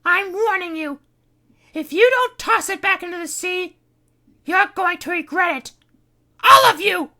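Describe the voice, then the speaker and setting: A woman's voice: moderate pace, bright, forceful tone. A woman voicing a frantic young woman with claws who shrieks warnings. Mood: ominous. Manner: shrill, screaming warnings.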